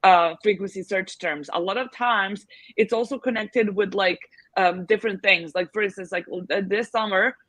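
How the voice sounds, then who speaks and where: A woman's voice: mid-range voice, moderate pace, a bit bright, no room sound. A woman answering questions as a guest expert on a video call, chatty with many fillers and restarts. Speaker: guest expert on selling abroad. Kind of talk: casual advice in a video call Q&A.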